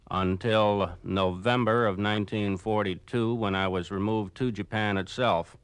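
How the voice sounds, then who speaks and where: A man's voice: lowish voice, smooth, no room sound. A man from Brooklyn answering as a guest in a 1940s radio interview, in formal, precise, prepared-sounding sentences. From Brooklyn, New York. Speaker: interview guest, former prisoner of war.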